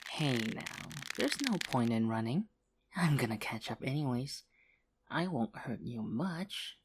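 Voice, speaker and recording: A woman's voice: rumbling low, very animated, a little slow, background noise, heavily acted. A woman voicing a betrayed, vengeful test subject in a horror audio roleplay, coaxing and menacing, with hurt close to the surface.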